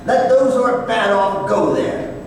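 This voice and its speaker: Cold old miser, played by a man, high unhurried voice, radio-play style, heard from afar in an echoing hall. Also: steady tone. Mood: cold, dismissive. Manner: curt, grudging, dismissive. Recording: distant echoey hall, noticeable hiss.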